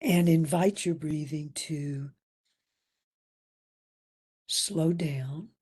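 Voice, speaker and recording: A woman leading a guided meditation as instructor, soft and breathy, soothing and gentle, leaving long pauses between phrases. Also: sits low. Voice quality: soft, breathy, at times near whisper.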